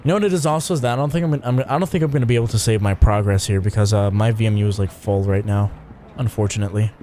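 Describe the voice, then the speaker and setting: A man's voice: fairly low pitch, very fast, warm tone, slight background noise. A tired young man giving casual, rambling commentary while streaming a video game, swearing and using many fillers.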